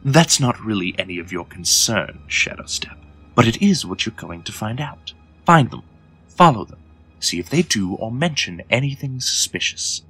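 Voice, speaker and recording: A man as a husky, scheming lord. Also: on the low side, sing-song, pushed and bright, faint music behind, exaggerated voice, poor audio. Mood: instructing. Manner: measured, imperious, formal. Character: commanding unicorn lord, coolly scheming spymaster. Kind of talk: audio drama character acting.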